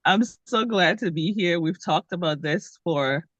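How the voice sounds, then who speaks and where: A woman from Liberia talks about her life as a guest in an interview. She speaks slowly and thoughtfully, often stopping to gather her words. The recording is dry and a little dull. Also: lowish voice, fairly bright tone, no room sound. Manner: thoughtful, many "um" pauses. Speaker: guest, author. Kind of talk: conversational interview.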